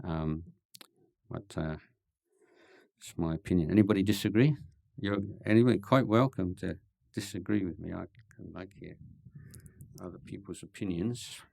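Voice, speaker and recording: A man, a Buddhist monk, answering questions at a meditation retreat in a thoughtful, halting way, with dry gentle humor and many pauses and ums. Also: sits low, creaky, dry recording. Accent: English. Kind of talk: relaxed Dhamma Q&A talk.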